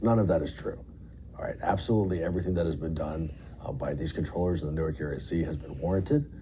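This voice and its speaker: Very deep-voiced middle-aged man, earnest. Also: quick pace. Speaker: air traffic controller being interviewed. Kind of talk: candid interview answers. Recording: faint background noise.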